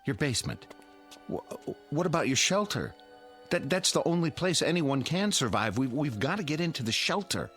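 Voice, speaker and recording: A man's voice: a little high, animated, normal speed, a bit bright, soft background music. An actor playing a desperate suburban father in a radio drama, pleading and stammering.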